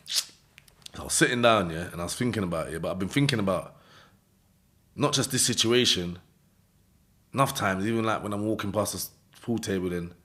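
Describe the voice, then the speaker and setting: A London man tells his story in heavy street slang, his low voice jumping up whenever he acts out someone else and breaking into laughs. The talk is loose and casual, with lots of "innit" and "you know what I mean". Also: fairly quick, long pauses, fairly bright tone. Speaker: interview guest.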